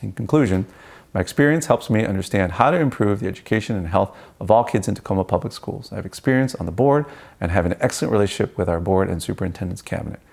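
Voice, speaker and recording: A man's voice: on the low side, animated, fast, gravelly, darkish tone. A middle-aged man from Peru, a native Spanish speaker, giving a prepared campaign speech as a school board candidate.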